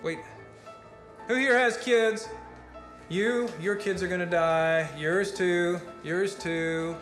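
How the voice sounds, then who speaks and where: A man's voice: mid-range voice, unhurried, vocal fry, fairly bright tone. An actor playing a rambling, fatalistic party guest who holds forth philosophically in a naturalistic film monologue, building his argument step by step in a conversational lecture. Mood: fervent, fatalistic. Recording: roomy film dialogue with music behind. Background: music.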